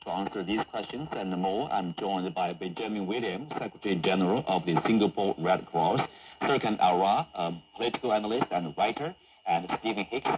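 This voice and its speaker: A man hosting a broadcast news interview, heard over a shortwave radio. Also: deep voice. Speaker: news talk show host. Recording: noisy humming shortwave radio reception.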